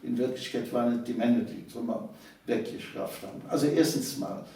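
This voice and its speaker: A man's voice: medium pitch, fairly quick, mellow. A man, reminiscing conversationally as an interview guest, repeating himself for emphasis and adding little questioning tags. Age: 70s. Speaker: interview guest, author of local history books. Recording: echoey room, distant microphone.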